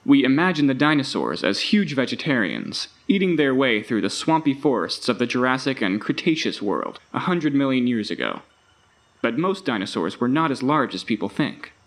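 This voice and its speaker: A man's voice: medium pitch, very animated, moderate pace. A man playing the recorded guide of a dinosaur park tour, giving scripted narration in a grand, instructive, theatrical announcer style. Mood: grand, informative.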